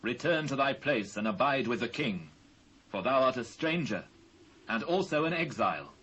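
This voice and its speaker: A British man playing a grave, devout fugitive king in a dramatised scripture, speaking solemn, measured archaic English. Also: medium pitch, lively intonation, a bit bright, husky, poor audio. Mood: grave, kindly. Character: King David, grave sorrowful fugitive monarch, commanding and devout. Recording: faint hiss and ambience, old cassette.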